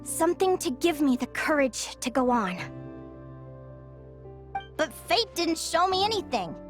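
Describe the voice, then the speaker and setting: A woman's voice: high voice, big pitch swings, a little slow, soft background music. A woman voicing a thoughtful, stubbornly self-reliant young advisor in a video game, speaking in an earnest, conversational way. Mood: reflective, candid.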